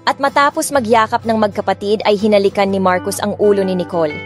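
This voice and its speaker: Story narrator: Filipino-accented woman, medium pitch, expressive scripted read, faint music beneath. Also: fast talker. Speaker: audiobook narrator. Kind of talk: dramatic first-person scripted narration. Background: soft music.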